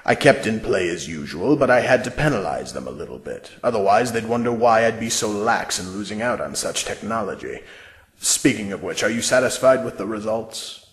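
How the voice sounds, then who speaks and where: Male actor as cold scheming mastermind, smug, low voice, swinging intonation, audio drama. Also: lively intonation, lightly acted. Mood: smug, calm. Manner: smug, measured, condescending. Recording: roomy echo, music underneath.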